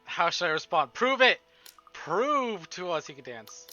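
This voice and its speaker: A man streaming a game, giving excitable, gushing live commentary on it. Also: sits high, a little slow, dry recording. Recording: slightly dull stream audio, music behind. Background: game music.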